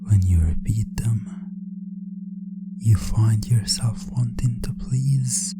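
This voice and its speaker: A man speaking softly in a breathy, often whispered ASMR roleplay as a calm, controlling hypnotist teacher, deliberate and repetitive. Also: on the low side. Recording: music bed under the voice. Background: music.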